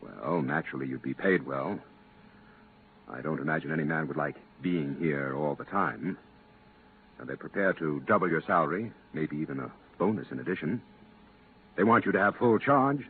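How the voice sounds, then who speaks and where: An actor playing a polite, persuasive visiting captain on official business, coaxing and a little hesitant, in 1950s radio drama style. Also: male voice, extremely low pitch, lively intonation, moderate pace. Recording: tinny, band-limited, hissy 1950s radio recording.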